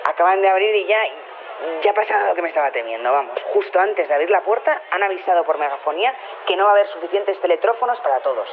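Over a tinny, noisy phone link, an agitated woman reporter talks very fast. She is blunt and impatient, giving a live report in an audio drama. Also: fairly low pitch, animated.

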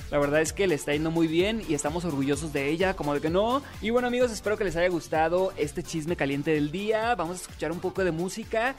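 A man's voice: fairly high pitch, quick pace, hardly any pauses, faint music behind. A man with a Mexican accent hosting a radio gossip show, chatty and playful, leaning on catchphrases.

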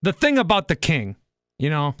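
A man's voice: fairly high pitch, wildly swooping pitch, moderate pace, fairly bright tone, dry recording, studio quality. A man in his thirties hosting a casual podcast interview, talking in a rambling, self-correcting way. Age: 30s. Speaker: podcast host and radio host.

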